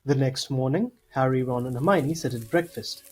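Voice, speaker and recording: Male narrator, mid-pitched, slight noise. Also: moderate pace. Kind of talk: scripted audio drama narration. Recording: slightly noisy home mic.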